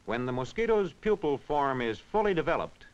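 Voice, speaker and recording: A man reading scripted educational narration in a measured, instructional, clearly enunciated way. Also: on the high side, big pitch swings. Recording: dull, band-limited vintage film soundtrack.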